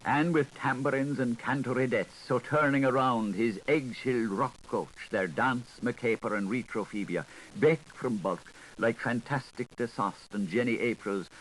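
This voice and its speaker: A man narrating a literary audiobook in an expressive, theatrical way, playful with wordplay and sound effects. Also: mid-range voice, rough recording. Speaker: audiobook reader. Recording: 1980s audiobook recording with audible background noise.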